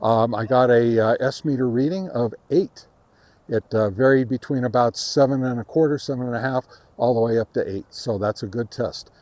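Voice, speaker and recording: A man of average pitch hosts a casual gear test outdoors. He speaks haltingly, feeling his way through the explanation as he narrates each step. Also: darkish tone, no echo. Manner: halting, hesitant delivery. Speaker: host of a radio gear review channel. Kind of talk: casual field-test commentary. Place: outdoors in a park.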